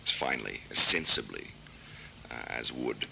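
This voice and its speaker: An American man in his thirties, a literary translator, answering interview questions in a thoughtful, measured way with many hesitations. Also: rumbling low, lively intonation, creaky, sharp tone, slight background noise. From United States. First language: English. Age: 30s.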